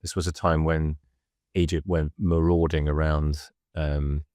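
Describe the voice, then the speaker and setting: A man explaining history on a podcast, measured and hesitant, full of ums. His voice is very low. The recording is dry and close. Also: little pitch movement, darkish tone, no room sound. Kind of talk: casual podcast chat, explaining.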